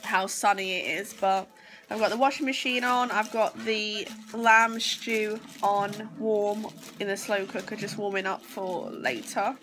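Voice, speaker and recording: Woman, young, congested and sore-throated, slow rambling vlog chat, phone at arm's length, noisy home recording. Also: mid-range voice, fairly even intonation. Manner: chatty, rambling, lots of ums. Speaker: vlogger, mother. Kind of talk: casual home vlog to camera. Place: small house, sofa, kitchen and garden. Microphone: phone camera at arm's length.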